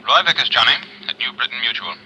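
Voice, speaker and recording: An American man playing a brisk, businesslike insurance manager on a telephone call in a 1950s radio drama. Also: mid-range voice, pushed and bright. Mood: brisk, concerned. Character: brisk, eager male insurance company manager. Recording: faintly noisy old radio, phone call.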